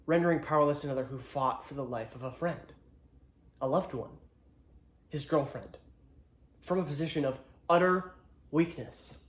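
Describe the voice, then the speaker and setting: A man playing an earnest, solemn ex-Marine attorney, delivering a deliberate, rhetorical courtroom monologue with dramatic pauses. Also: a little high, lightly acted. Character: earnest male attorney, proud ex-Marine, solemnly addressing jury. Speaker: actor. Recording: echoey room.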